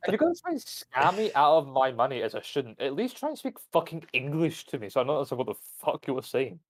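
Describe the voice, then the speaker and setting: A man's voice: medium pitch, fairly quick. A young man with a Scottish accent, a university student chatting on a live stream, ranting and swearing as he tells stories. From Scotland. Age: young adult. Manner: ranting, profane, animated storytelling. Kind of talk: casual live-stream chat.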